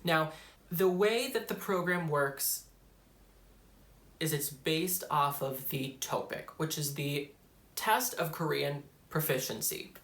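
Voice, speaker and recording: A man's voice: fairly high pitch, a bit bright. A young man vlogging casually to the camera, rambling and self-deprecating as he talks about his studies. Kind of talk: casual vlog talk to camera. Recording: echoey room.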